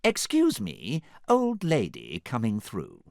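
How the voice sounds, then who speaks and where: A spry, motherly old queen from a storybook, put on by a man. The voice is high and playful, with breezy swoops in pitch. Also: wildly swooping pitch, slow pace, darkish tone, no echo, studio quality, exaggerated voice.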